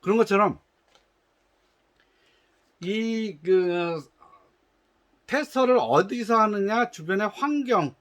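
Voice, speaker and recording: A man's voice: sits high, a bit bright. A man from a shoe company patiently explains how to test his product, with frequent hesitations and restarts. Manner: gives instructions in polite formal speech.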